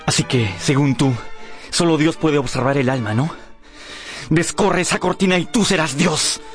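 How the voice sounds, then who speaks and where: A man's voice: on the high side, moderate pace, lightly acted. An actor playing a corrupt, vain man of youthful looks in his late thirties, theatrical and taunting, in a radio drama. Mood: coldly taunting. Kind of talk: Spanish-language radio drama acting. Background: music.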